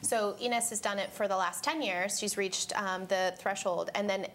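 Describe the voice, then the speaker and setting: A woman's voice: mid-range voice, steady tone, moderate pace, fairly bright tone, slight background noise. Upbeat woman charity organizer. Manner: fluent, upbeat, filler "um". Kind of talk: explaining informally. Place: TV studio.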